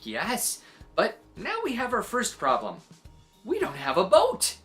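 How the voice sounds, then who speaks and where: Eccentric aunt, acted by a woman, excitable tall-tale teller for a children's story, very animated, emphatic. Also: medium pitch, faint music behind, pitched above the performer's own voice. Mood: brisk, emphatic. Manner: dramatic, emphatic, drawn-out delivery.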